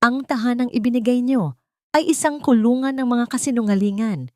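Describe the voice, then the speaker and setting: A woman voicing a wronged, defiant daughter with a sharp, accusing manner in an audio drama. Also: on the high side, fairly quick, dry recording, studio quality, voice pushed up, lightly acted. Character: Marian, wronged daughter, cold, defiant, accusing her stepmother.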